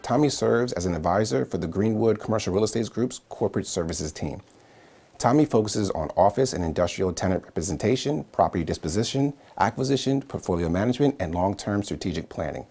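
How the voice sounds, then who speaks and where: Man, very deep voice, interview host, friendly, affirming, lots of okays. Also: a little mellow. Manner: friendly, affirming, frequent "okay" and "awesome". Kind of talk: scripted intro, then casual interview.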